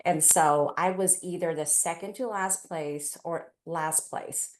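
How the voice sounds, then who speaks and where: A woman's voice: fairly low pitch, fairly even intonation, slow. A middle-aged woman from Taiwan and California telling personal stories as a parent on a panel. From Taiwan, then California from age eight. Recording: roomy, echoey event space.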